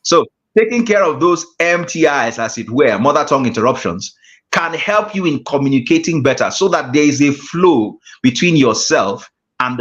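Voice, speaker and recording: A man with a Nigerian accent leads a speaking class in a measured way, circling back to repeat his key phrases. His voice sits a touch high. The audio is muffled and lo-fi. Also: a bit bright. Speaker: guest speaking coach. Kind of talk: teaching a public-speaking class.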